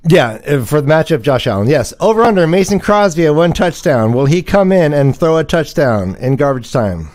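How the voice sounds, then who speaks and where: A man's voice: a little high, big pitch swings, normal speed, few pauses, darkish tone. A man hosting a casual fantasy football show, bantering and announcing with jokey, theatrical emphasis. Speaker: livestream show host.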